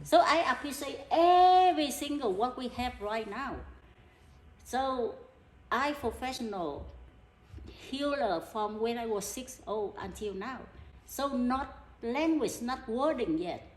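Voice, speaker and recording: A middle-aged woman from Vietnam with a Vietnamese accent, a healer talking earnestly in halting, broken English. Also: on the high side, expressive. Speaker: energy healer. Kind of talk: casual interview talk. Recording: echoey room with background noise.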